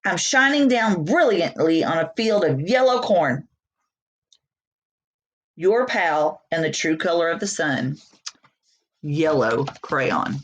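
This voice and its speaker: A woman's voice: low voice, animated, slow pace, long pauses, highs cut off, heavily acted. A woman voicing a proud, petty, indignant crayon in a storybook, boastful and insistent.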